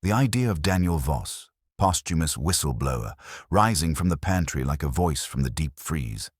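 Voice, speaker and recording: A man's voice: a bass voice, animated, normal speed, slightly rough, no room sound, studio quality. A man narrating a scripted first-person detective story in terse, clipped noir sentences. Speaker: audiobook narrator.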